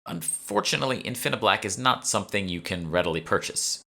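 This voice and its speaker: A courteous female museum curator from an audiobook, voiced by a man. The voice sits higher than his own, bright in tone, earnest and informative, eager to please. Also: animated, fast, creaky, full character voice. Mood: tactful. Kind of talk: audiobook character dialogue.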